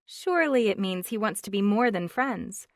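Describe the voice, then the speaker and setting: A woman voicing an excitable young woman friend chatting on the phone, gushing and teasing, in a dry, close reading. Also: medium pitch, normal speed, no echo, close to an everyday voice. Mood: excited.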